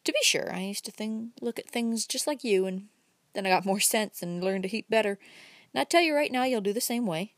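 A woman's voice: mid-range voice, fairly quick, no echo, clean studio sound, close to an everyday voice. A woman voicing a talkative, folksy old countryman with a rustic drawl, reading an audiobook. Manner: chatty, homespun, emphatic.

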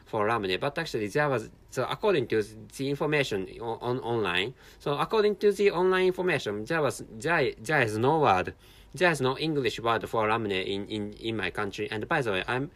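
A tired Japanese man talks alone in English with a clear Japanese accent, stopping and restarting his phrases again and again. His pitch rises and falls a lot from line to line. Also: lively intonation, a bit bright. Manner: halting, many restarts and repeated words. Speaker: podcast host. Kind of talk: casual solo monologue.

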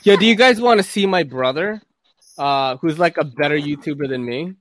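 A man's voice: fairly high pitch, moderate pace, fairly bright tone. A man in his forties chatting casually and loosely on a video call, full of slang and fillers. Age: 40s. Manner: slangy, laid-back, lots of fillers. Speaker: host sharing his screen.

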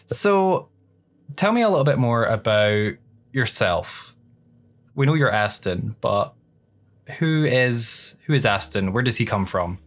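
A man's voice: medium pitch, expressive, measured pace. A man hosting a podcast, asking his guest questions in a casual, encouraging way. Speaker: podcast host. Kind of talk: casual interview.

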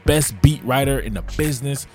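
A man's voice: mid-range voice, fairly quick, a little mellow, soft background music. A man with a Southern US accent hosting casual, slangy football commentary. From southern United States. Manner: slangy, informal, familiar address to listeners. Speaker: host, football analyst. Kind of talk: casual solo sports commentary.

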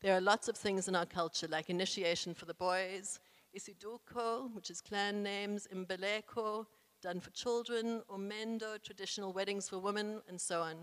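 A young South African woman, first language isiXhosa, reads a personal essay aloud in an earnest, proud way with careful phrasing. Her voice sits in a middle register and carries a long reverb from the room she is in. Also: medium pitch. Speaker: speaker reading her own essay.

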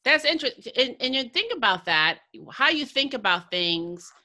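A woman's voice: high-pitched, big pitch swings, moderate pace, pushed and bright, no echo, clean studio sound. An American woman hosting a podcast in a conversational interview style. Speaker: podcast host.

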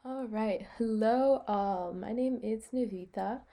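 A young American woman co-hosts a podcast in a casual, chatty style. Her voice sits a little high for a woman, yet it is mellow and relaxed in tone. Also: slow. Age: young adult, about eighteen. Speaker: podcast co-host. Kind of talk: casual chat.

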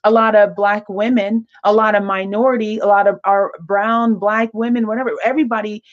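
A woman hosting an interview, speaking in a halting way with many restarts and fillers. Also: mid-range voice, little pitch movement, highs cut off. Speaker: podcast host. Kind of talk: casual interview chat.

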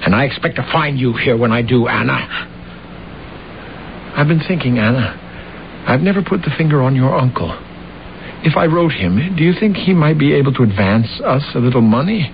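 Slippery boastful husband w big political dreams, old radio play, dull sound w some hiss. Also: male voice, mid-range voice, animated, normal speed, gravelly, pauses often. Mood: grandiose, calculating. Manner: glib, evasive, boastful. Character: scheming, self-deluded husband with grandiose political ambitions. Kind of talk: old-time radio drama acting. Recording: muffled, noisy old radio broadcast.

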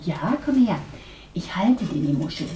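A woman playing a friendly, caring young female pirate, talking gently and expressively to children in a puppet play. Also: fairly low pitch, big pitch swings, a little slow, pitched below the performer's own voice. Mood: warm storytelling. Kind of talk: children's puppet-theatre storytelling. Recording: echoey room, distant mic, faint noise.

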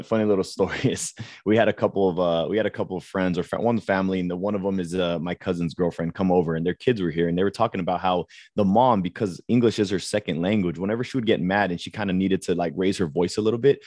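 Low-voiced guy co-hosting a podcast, jokey and laid back, dry close mic. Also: quick pace, few pauses, no room sound. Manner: relaxed, joking storyteller. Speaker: podcast co-host. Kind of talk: casual chat.

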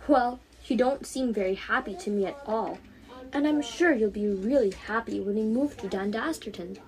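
Young woman as a high-voiced, motherly aunt. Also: moderate pace, a little mellow, close to an everyday voice, low-quality recording. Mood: coaxing, kind. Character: caring, cheerful aunt who looks after a girl.

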